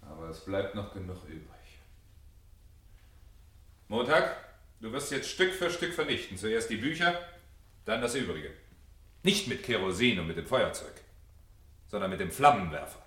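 An actor playing a domineering, sardonic fire captain in a radio drama, with a commanding, mocking manner. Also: male voice, fairly low pitch, very animated, normal speed, long pauses, bright, forceful tone, reverberant. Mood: cold, menacing. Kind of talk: 1970 German radio drama acting.

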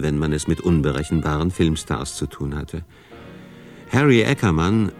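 A man's voice: low voice, darkish tone. A man reading scripted narration as the narrator of a radio documentary, recorded in a studio.

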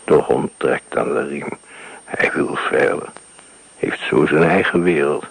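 An actor playing an older writer haunted by a wartime firestorm, speaking slowly in a hushed, breathy, gravelly voice in a radio play. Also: male voice, a bass voice, heavily acted, low-quality recording. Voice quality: gravelly, breathy, half-whispered. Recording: old radio broadcast recording.

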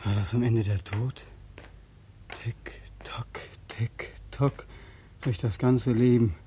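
An actor playing a tormented, despairing soldier home from war in a 1940s radio drama, anguished and halting. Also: male voice, mid-range voice, fairly even intonation, a little hiss or hum, close to an everyday voice. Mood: dazed. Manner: anguished, halting, swinging from hush to outcry. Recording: old 1940s radio recording.

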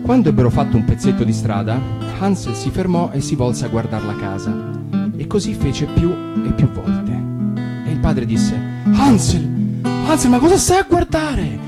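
A man's voice: medium pitch, normal speed. A male actor narrating a fairy tale expressively for radio. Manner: warm storytelling with lively emphasis. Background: guitar music.

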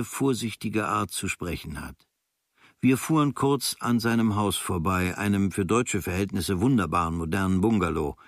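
An actor reading as a young German writer on his travels, observant and dryly critical, in measured, sober, clipped phrases. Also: lowish voice, dry recording, clean studio sound. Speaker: reader of a writer's diary. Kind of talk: literary reading of a travel diary.

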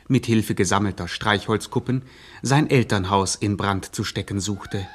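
An actor playing a grandiose narrator, telling a gangster epic in pompous, mock-solemn radio style. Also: male voice, on the low side, moderate pace, very noisy, close to an everyday voice. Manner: pompous, theatrical, ornate.

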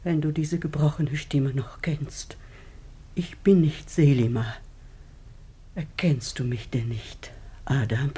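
A devoted old wife in mourning for a classical radio drama. Her voice is dark and very breathy, cracked with grief. She declaims and pleads with anguish. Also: sits low, takes it slowly, long pauses, heavily acted. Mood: broken, grieving. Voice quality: broken, husky, breathy. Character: grieving aged wife, devoted, pleading to die with husband. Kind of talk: solemn classical German radio play acting.

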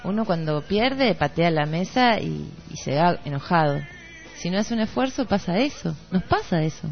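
A woman's voice: on the low side, expressive, normal speed, creaky. A woman with a Rioplatense Argentine accent in a casual, reflective radio discussion. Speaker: radio panelist. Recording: slightly dull radio audio with music behind. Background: music.

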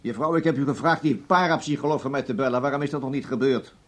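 An actor in a radio play voicing an imperious, blustering, evasive boss with a husky voice. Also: male voice, mid-range voice, fast, soft background music, lightly acted. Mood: irritated, demanding. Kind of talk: Dutch radio play acting. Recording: dull lo-fi radio play recording.

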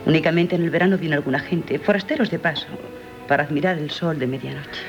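An actress playing a dutiful young daughter longing to escape, earnest and hesitant, in Castilian Spanish radio drama. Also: female voice, low-pitched, fast, dull audio, music in the background. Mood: resigned, wistful.

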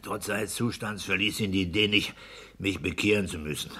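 An actor playing a pompous, domineering clerk and husband in a 1960s German radio play, scolding and self-satisfied. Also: male voice, sits low, fairly even intonation, moderate pace, pushed and bright, a little hiss or hum. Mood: scolding, blustering.

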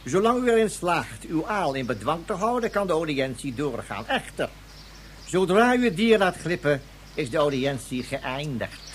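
A man's voice: a little high, big pitch swings, moderate pace, a little hiss or hum. A man playing a pedantic, obedient court official in a science fiction radio play, speaking formally and ceremoniously. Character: pedantic, obedient male court official, explaining strict protocol.

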